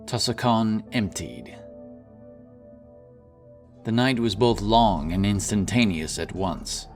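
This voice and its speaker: A man's voice: lowish voice, takes it slowly. A man narrating a fantasy tale in a solemn, dramatic, scripted storytelling style. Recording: music under the narration.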